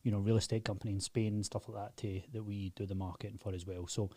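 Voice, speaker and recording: A man's voice: on the low side, little pitch movement, quick pace, dark tone, slightly rough, no room sound. A Scottish man in his thirties talking straight to camera about his business, blunt, emphatic and proud. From Scotland. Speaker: business owner and channel host. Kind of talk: direct-to-camera business talk.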